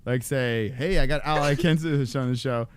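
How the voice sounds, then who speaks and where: Loud, joking male podcast host. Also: mid-range voice, normal speed, smooth. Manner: loud, joking, hyped announcing. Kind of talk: casual chat.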